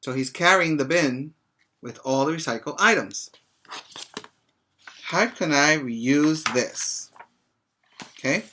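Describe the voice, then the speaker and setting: A middle-aged man with a bright, clear-cut edge to his voice teaches preschoolers. He takes his time, reading a picture book aloud and pausing to explain and check in with the children. His manner is instructive and simple. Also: on the high side, animated. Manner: slow, instructive, addressing children with check-in questions.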